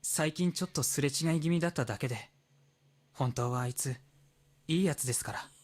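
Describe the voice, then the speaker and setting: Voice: mid-range voice, very fast, darkish tone, lightly acted. An actor voicing a mature, reserved teenage boy in a Japanese visual novel, speaking with formal, hesitant politeness. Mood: polite, defensive.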